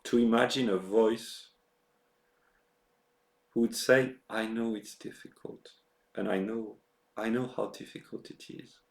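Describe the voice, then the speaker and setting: A man speaking in a reflective, personal monologue, halting and often repeating words and phrases. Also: lowish voice, fairly even intonation, gravelly. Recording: roomy, echoing sound.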